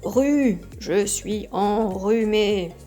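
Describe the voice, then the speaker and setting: A woman voicing a grumpy male faucet with a cold and a blocked nose, grumbling slowly and loudly in a children's learning video. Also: medium pitch, soft background music.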